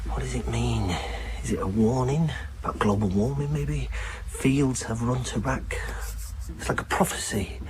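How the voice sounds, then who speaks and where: An earnest, naive young man, played by a male actor, speaks hesitantly in a radio play. His voice sits at an ordinary pitch, with clear noise behind it. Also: moderate pace, a little mellow, natural voice, poor audio. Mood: dazed, earnest. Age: young adult. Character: earnest, bewildered young man, volunteer in a medical trial. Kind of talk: radio drama acting.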